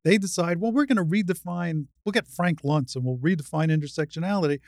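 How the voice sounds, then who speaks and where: Older woman with a low-set voice, debating. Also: fast, darkish tone, no room sound, clean studio sound. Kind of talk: spirited debate in conversation.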